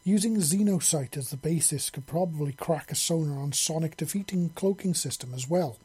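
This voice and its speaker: A man's voice: fairly high pitch, normal speed, dark tone, husky. A man voicing a sweet, easily flustered male alien engineer in an audiobook story, earnest and innocent. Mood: delighted. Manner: earnest, hesitant when flustered.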